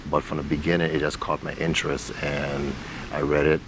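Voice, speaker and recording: A man's voice: very deep voice, completely flat delivery, a little fast. A man, an interview guest talking informally and telling stories in colloquial speech. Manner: informal, colloquial, acts out quoted dialogue. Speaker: interview guest, stage actor and director. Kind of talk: conversational storytelling. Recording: clearly noisy background.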